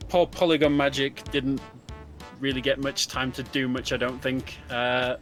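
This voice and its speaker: A man's voice: medium pitch, level tone, moderate pace. A man co-commentating on a speedrun in a casual, explanatory, somewhat hesitant way. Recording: loud game music behind. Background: video game music.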